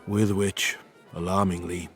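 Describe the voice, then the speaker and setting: A deep-voiced wry doctor narrator. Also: male voice, level tone, measured pace, fairly bright tone, some chatter behind. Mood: wry, confiding. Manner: sardonic, confiding. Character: wry, blunt male doctor narrating his own story. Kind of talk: first-person radio drama narration. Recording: music behind the voice.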